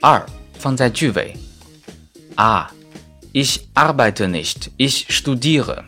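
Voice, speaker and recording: A male native Chinese speaker gives a grammar lesson with highly varied intonation. He is didactic yet conversational, slipping in casual asides and stating examples carefully. Soft music sits faintly behind him. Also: expressive, takes it slowly. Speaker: German grammar teacher.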